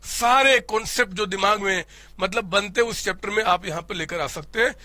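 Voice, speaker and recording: A man from India teaching an accounting class in a playful, animated way, hoarse from a cold. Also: high-pitched, moderate pace, no room sound. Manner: animated, playful, addresses students as "my child"; voices students' questions himself. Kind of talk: classroom lecture.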